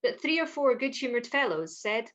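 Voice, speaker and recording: A woman's voice: fairly high pitch, big pitch swings, moderate pace, a bit bright. A woman reading scripted narration for a radio play of a classic tale. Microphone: video call.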